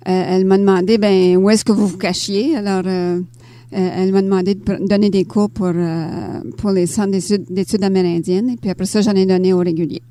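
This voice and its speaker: An older woman from Ontario with a Canadian French accent, answering interview questions conversationally and pausing often as she thinks. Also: medium pitch, steady tone, mellow, no room sound. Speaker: interview guest, author and teacher. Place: radio studio.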